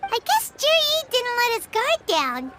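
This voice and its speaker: A woman voicing a small, excitable female fairy companion in a video game, with a squeaky childlike voice and an exclamatory, cutesy manner. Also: takes it slowly, heavy background noise, full character voice. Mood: puzzled, indignant.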